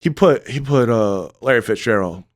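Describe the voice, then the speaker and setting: A man's voice: mid-range voice, lively intonation, normal speed, no room sound. Man: rambling coach telling stories, dry sound. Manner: rambling, heavy on "like" fillers, acts out what he told players. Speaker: guest, youth sports league cofounder and coach. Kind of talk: casual podcast storytelling.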